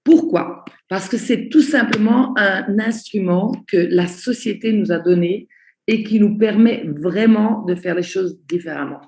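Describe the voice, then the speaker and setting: Slow, gravelly-voiced woman trainer. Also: medium pitch, darkish tone, roomy sound. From Belgium. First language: Italian. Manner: slow, deliberate, repeats key points. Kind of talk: online training presentation, spoken slowly for interpreters.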